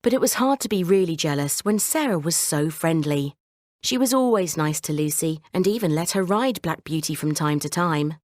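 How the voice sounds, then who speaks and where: A woman narrating a scripted children's bedtime story in a measured, clearly phrased way. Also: deep voice, lively intonation, a little mellow, no room sound, studio quality.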